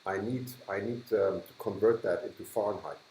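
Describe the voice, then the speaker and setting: A man's voice: lowish voice, brisk, darkish tone, roomy sound, slight background noise, low-quality recording. A man with a German accent hosting a casual livestream, chatting with viewers, laughing often and trailing off mid-sentence. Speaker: livestream host. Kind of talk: casual live chat reading viewer comments.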